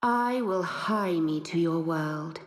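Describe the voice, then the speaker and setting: A solemn young woman, a divine emissary from a fantasy game. She speaks slowly and gently in stately, archaic phrases, her fairly low voice ringing in a long cavernous reverb. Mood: solemn, compassionate. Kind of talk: dramatic video game cutscene acting.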